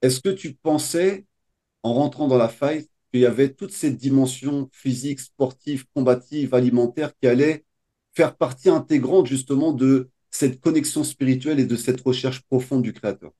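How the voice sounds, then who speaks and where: A man with a mellow, warm voice hosts a testimonial interview as a coach. He puts his questions in a measured, formal way. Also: medium pitch.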